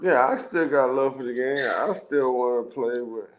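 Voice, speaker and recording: A former pro football player giving short, laid-back answers in a casual interview over a video call. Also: male voice, medium pitch, moderate pace. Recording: loud background noise on a call line.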